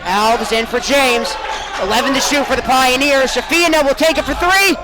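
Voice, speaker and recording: A man's voice: extremely high pitch, moderate pace, a crowd in the background, rough recording. A young man working as a sportscaster, giving emphatic, projected sports broadcast commentary. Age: young adult. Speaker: sportscaster, play-by-play announcer.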